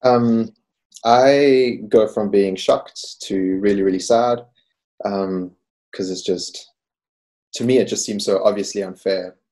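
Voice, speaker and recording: A man's voice: lowish voice, slow, warm tone. A man in his twenties speaking thoughtfully and sincerely as a guest on a video call panel. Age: 20s.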